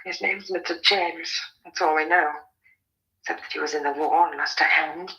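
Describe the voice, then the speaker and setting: An actress playing a sensible, anxious young British farmer's daughter in a radio drama, brisk and earnest. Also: female voice, low voice, fairly even intonation, bright, forceful tone, lo-fi sound. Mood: firm.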